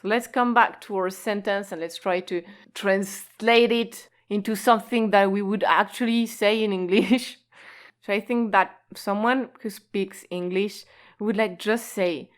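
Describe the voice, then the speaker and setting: A woman teaching an online language lesson in a slow, patient, explanatory way, stretching key words and laughing lightly now and then. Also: mid-range voice, steady tone.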